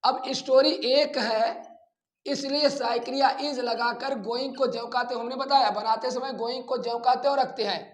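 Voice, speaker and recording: A man teaching a grammar lesson, deliberately repeating phrases and addressing his students directly in an echoey, roomy recording. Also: very high voice, fairly even intonation. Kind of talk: classroom-style grammar lesson.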